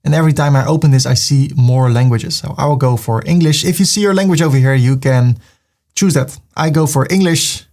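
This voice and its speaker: A man's voice: medium pitch, fairly quick, a little mellow. A man with a Dutch accent, hosting a screen-recorded tutorial and talking through each click in an upbeat way. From Netherlands. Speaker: web tutorial host. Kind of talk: screen-recorded software walkthrough.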